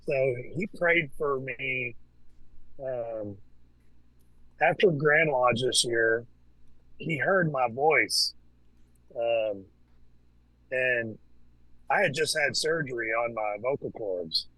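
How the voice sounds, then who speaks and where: A man chats as a guest in a loose, unhurried way, stumbling through fillers and restarts. His voice sits at an everyday middle pitch, and the recording is dry, with no room sound. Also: no room sound, low-quality recording. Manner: relaxed, hesitant, with many fillers and restarts. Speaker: podcast guest, Freemason. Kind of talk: casual conversation.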